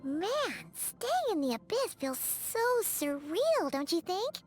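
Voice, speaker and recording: A woman's voice: extremely high pitch, big pitch swings, slow, a little mellow, soft background music, exaggerated voice. A woman voicing a tiny, chirpy, childlike fairy companion in a video game, with a cutesy manner. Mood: chatty, cheerful. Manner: refers to herself in third person, cutesy.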